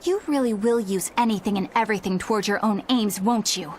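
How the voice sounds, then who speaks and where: A woman's voice: medium pitch, fairly quick, a little mellow, a little hiss or hum, close to an everyday voice. A woman voicing an earnest, righteous young female exorcist in a video game, formal and sincere. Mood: concerned.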